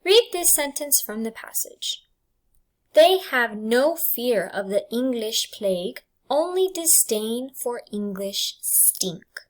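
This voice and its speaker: A woman teacher reading test questions and answer choices aloud in a deliberate, enunciated way for students. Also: a little high, expressive. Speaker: English language arts teacher.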